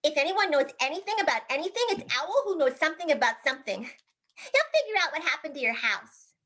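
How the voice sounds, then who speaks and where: A woman voicing a small, anxious, eager-to-please pig with a squeaky voice in a comic stage play reading. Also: sing-song, brisk, bright, forceful tone, exaggerated voice. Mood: eager, earnest.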